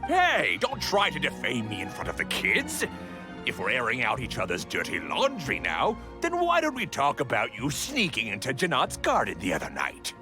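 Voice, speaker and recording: Wildly expressive bickering old man. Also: medium pitch, moderate pace, over music. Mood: indignant, needling. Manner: indignant, bantering. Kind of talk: scripted video game dialogue.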